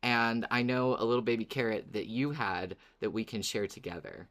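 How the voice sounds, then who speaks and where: A woman co-hosting a podcast in casual fan chat, expressive and enthusiastic, with some swearing. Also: rumbling low, moderate pace. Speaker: podcast co-host.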